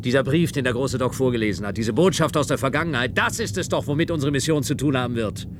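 An actor playing a dutiful, rule-bound official in a science-fiction radio play, speaking formal in an insistent, lecturing way. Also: male voice, fast, natural voice. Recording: noisy radio play with engine rumble.

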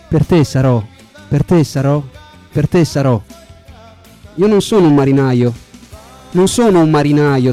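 A man playfully reciting translated song lyrics, deliberately repeating short phrases. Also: mid-range voice, warm tone.

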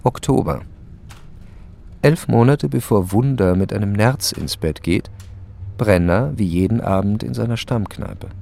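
A man narrates a radio play in a low, creaky voice. He takes his time, setting down terse, matter-of-fact fragments over faint background noise. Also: unhurried, dark tone.

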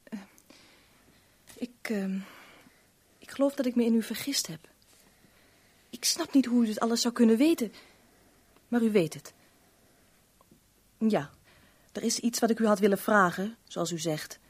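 Guarded, higher-voiced young woman. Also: unhurried, pauses often, darkish tone, husky. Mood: pleading, earnest. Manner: reserved, formal, defensive. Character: guarded, protective, proud young stepdaughter of a millionaire. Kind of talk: Dutch radio play acting.

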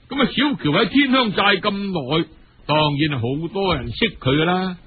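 A man's voice: high-pitched, breathy edge, phone-quality sound. A man with a Cantonese accent telling a story in a colloquial, theatrical storytelling style. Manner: theatrical, colloquial, uses many sentence particles.

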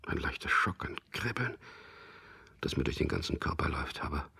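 Gravelly half-whispering captive man. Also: a bass voice, expressive, moderate pace, heavily acted. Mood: hushed, tense. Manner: terse, hushed inner monologue. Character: captive male prisoner narrating his own interrogation, stoically enduring. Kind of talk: first-person radio drama narration in present tense.

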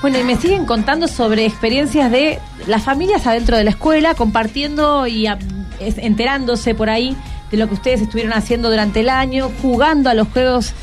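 A woman's voice: fairly high pitch, a little fast, over music. An Argentine woman hosting a casual radio interview, asking encouraging questions in a conversational way. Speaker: radio host interviewing schoolchildren. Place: radio studio.

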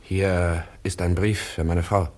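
An actor playing a dubbing double who solemnly recites a soldier's farewell line in a radio drama. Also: male voice, sits low, level tone, slow pace.

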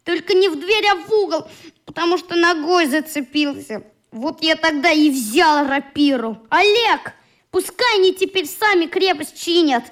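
A woman's voice: very high voice, normal speed, fairly bright tone. A woman playing an earnest, indignant little boy in a radio play, reporting in an artless, aggrieved way. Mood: defensive, indignant.

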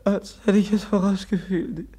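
A man's voice: high-pitched, steady tone, mellow, breathy edge. A man acting in a German radio drama as a fragile, confused, ailing young prince, anxious and stammering. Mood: dazed, confused. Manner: halting, stammering, repeats words.

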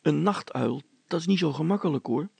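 A man's voice: a little high, animated, a little fast, creaky, dark tone, slightly rough, no room sound, heavily acted. A man voicing a worried, doubting male helper in a storybook, anxious and plain-spoken. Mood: worried, doubtful.

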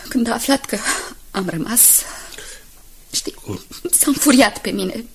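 An actress in a Romanian radio drama playing a frail, anguished young woman who confesses her past haltingly and intimately. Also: a little high, slight background noise, lightly acted. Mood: pained, subdued. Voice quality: husky, breathy. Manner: halting, pained, intimate storytelling.

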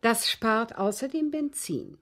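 A woman's voice: fairly high pitch, sing-song, takes it slowly, exaggerated voice. A woman playing a genteel, unruffled widowed lady in a radio drama, politely and precisely confessing with cool amusement. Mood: chatty, matter-of-fact. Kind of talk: German radio drama acting.

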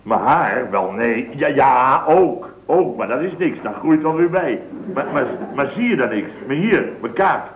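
Blustering boss played by an actor, tinny and echoey. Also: male voice, mid-range voice, lively intonation, measured pace, natural voice. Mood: self-pitying. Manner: fussy, repetitive, aggrieved, stammering sentences. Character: blustering, self-pitying male building-firm boss. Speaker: actor in a radio comedy serial. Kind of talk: 1970s radio comedy acting. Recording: echoey, tinny old radio recording.

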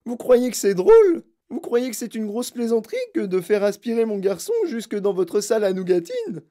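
Overbearing storybook mother acted by a narrator: high, shrieking, outraged, swooping pitch. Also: very animated, moderate pace, mellow, lightly acted. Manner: shrieking, indignant, wailing.

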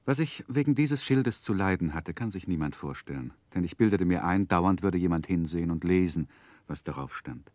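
A narrator looking back on childhood in a vintage radio play. His very low voice is dry and close, with reflective, literary phrasing. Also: expressive, normal speed, darkish tone, dry recording. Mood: descriptive. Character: grown man recalling his sorrowful boyhood. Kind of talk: 1960s German radio drama narration.

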